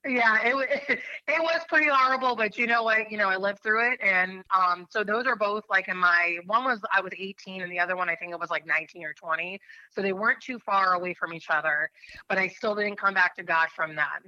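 A woman's voice: medium pitch, a little fast, sharp tone, no room sound, dull audio. A woman in her thirties from New Hampshire, an attorney telling her faith story in an interview, earnest and rambling with many fillers.

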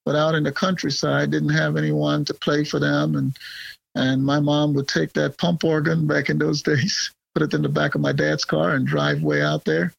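Gravelly man from Guyana. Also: a little high, level tone, moderate pace, no echo. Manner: rambling, frequent fillers and restarts, laughs often. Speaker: guest. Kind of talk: casual interview conversation.

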